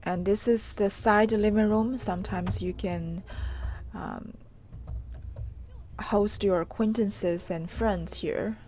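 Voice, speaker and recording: A woman reporter narrates an unscripted walk-through on location, with some faint noise around her. Her pitch is average and her pace is slow. She speaks haltingly, often pausing in the middle of a phrase. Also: fairly even intonation, darkish tone. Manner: halting, hesitant delivery with pauses mid-phrase. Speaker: reporter giving a live guided tour. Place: on location inside an old house. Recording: clearly noisy on-location live recording.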